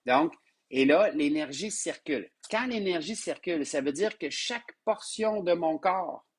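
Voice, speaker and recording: A man with a Québécois French accent teaches a spiritual class live, his voice hoarse and husky from a sinus infection. His voice sits a little high for a man. He stumbles over his words, repeats himself and speaks to his listeners tenderly as his loves. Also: expressive, measured pace. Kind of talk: live-streamed spiritual lesson.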